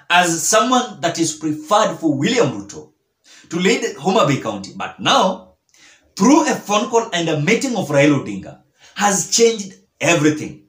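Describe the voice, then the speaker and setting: A young man with a Kenyan accent gives emphatic political commentary to camera, repeating phrases for weight. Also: high-pitched. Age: young adult. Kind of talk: direct-to-camera news commentary. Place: small bare-walled room indoors. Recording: echoey small room.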